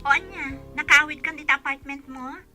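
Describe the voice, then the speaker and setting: An actress playing a bubbly young bride-to-be with a Filipino accent, chatty and eager, in a radio drama. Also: female voice, high voice, a little fast, over music, natural voice. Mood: eager, excited.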